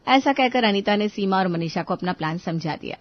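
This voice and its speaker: A woman's voice: mid-range voice, animated, fairly quick, dull audio. A woman narrating a scripted moral story as a storyteller.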